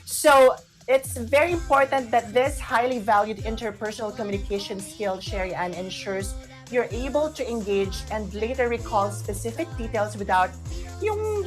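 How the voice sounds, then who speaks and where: Higher-pitched Filipino woman co-hosting over music. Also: lively intonation, normal speed. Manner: repeats phrases, mixes Tagalog into English. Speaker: radio talk show co-host. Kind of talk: chatty talk show discussion with some read-out tips.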